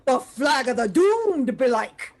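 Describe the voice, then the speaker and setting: A woman's voice: high voice, big pitch swings, takes it slowly, darkish tone, full character voice. A woman playing a superstitious, frightened sailor in a radio play, wailing dramatically. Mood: doom-struck dread. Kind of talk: amateur radio play acting.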